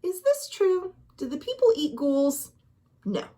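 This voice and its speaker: Animated, high-voiced American woman storyteller. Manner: dramatic, deliberate emphasis. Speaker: librarian, storytime reader. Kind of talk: expressive children's storybook narration with questions to listeners.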